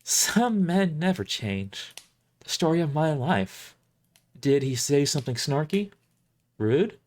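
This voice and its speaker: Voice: mid-range voice, big pitch swings, takes it slowly, darkish tone, voice pushed up. A performer voicing a sweet but fierce woman who scolds like a schoolteacher in an audio drama. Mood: sweet, indignant. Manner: schoolteacher-like, emphatic.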